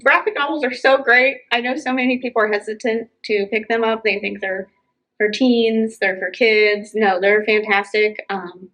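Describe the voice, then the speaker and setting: A woman's voice: on the high side, fairly even intonation, normal speed, fairly bright tone, low-quality recording. A woman co-hosting a casual library book chat, talking in an enthusiastic, effusive way. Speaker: library podcast co-host.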